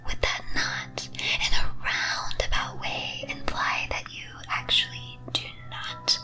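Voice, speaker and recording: A woman whispers a scripted ASMR monologue in a breathy, teasing, theatrical and deliberate way. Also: over music, poor audio. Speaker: streamer performing as a character.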